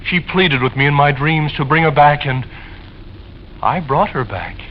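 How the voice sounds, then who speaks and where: An old, hissy radio suspense recording carries an obsessed widower. His voice sits high for a man, halting and insinuating, with a nervous, pleading eeriness. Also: lively intonation. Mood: eerie, insinuating. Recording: muffled, noisy vintage radio recording.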